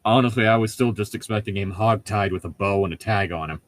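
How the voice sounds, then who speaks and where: A man's voice: fairly low pitch, fast, a little hiss or hum. A man co-hosting a podcast, chatting casually and jokingly and rambling into tangents as he corrects himself. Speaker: podcast co-host commenting on a book. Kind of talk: casual comedic chat.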